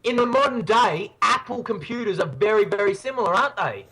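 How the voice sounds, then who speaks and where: A man's voice: sits high, expressive, a little fast, a loud crowd. A man hosting a podcast in casual chat, playful and jokey, hyping ideas with enthusiasm. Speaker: podcast host.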